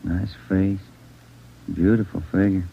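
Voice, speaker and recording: An actor in a 1940s radio drama playing an easygoing, plainspoken police detective with an unhurried, laconic manner. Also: male voice, low voice, steady tone, vocal fry, slight background noise. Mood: dry, relaxed. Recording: muffled 1940s radio recording.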